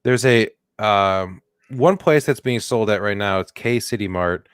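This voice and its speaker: A man with a low voice co-hosts a comedy podcast in a loose, joking way, riffing and putting on silly voices. The sound is dry and close, with no room in it. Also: a little slow, clean tone, no echo. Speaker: podcast co-host. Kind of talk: casual comedic chat.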